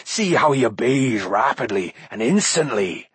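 A man voicing a pitiful, grovelling, hairy half-beast creature that whines and wheedles, in a slightly husky voice. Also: mid-range voice, very animated, measured pace, dry recording, exaggerated voice. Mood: wailing.

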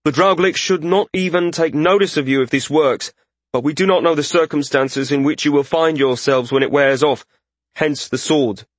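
Earnest, nervy male mage played by a male narrator, raised pitch, precise formal phrasing, fantasy audiobook. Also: moderate pace, no echo, natural voice. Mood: anxious. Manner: formal, careful wording. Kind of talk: audiobook character dialogue.

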